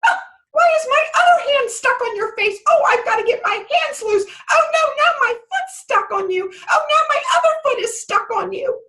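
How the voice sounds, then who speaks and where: A woman voicing a tiny fairy with a very high, chirpy, fussy voice that turns indignant. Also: takes it slowly, pauses often, fairly bright tone, full character voice. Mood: indignant, panicky. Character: tiny squeaky female fairy, greedy then furious.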